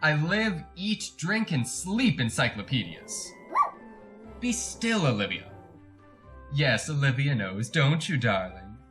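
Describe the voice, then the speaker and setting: A man's voice: medium pitch, sing-song, slow, fairly bright tone, soft background music. An actor playing a chatty, over-polite, creepy encyclopedia salesman who bullies his dog, in a retro radio drama monologue. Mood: fussy, self-satisfied. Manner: fussy, over-polite, wordy, theatrical.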